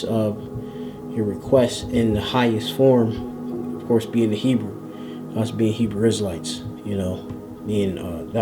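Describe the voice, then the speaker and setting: A man giving an informal scripture lesson, explaining word by word in a halting, deliberate way and often checking in as he goes. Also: on the low side. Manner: halting, deliberate, frequently checking in with "all right". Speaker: religious Hebrew language teacher.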